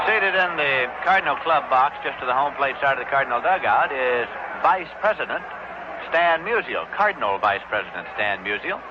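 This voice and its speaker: A man calling baseball play-by-play as a live radio commentator. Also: mid-range voice. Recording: dull old radio broadcast, loud crowd noise. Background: loud stadium crowd.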